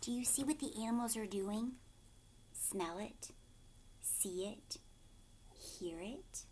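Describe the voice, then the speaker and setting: A woman with a slightly high voice reads to children as their teacher. She takes her time, stresses key words and puts questions to the listeners in an expressive way. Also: slow, a little hiss or hum. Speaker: teacher reading aloud to children. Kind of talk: children's storybook read-aloud with questions.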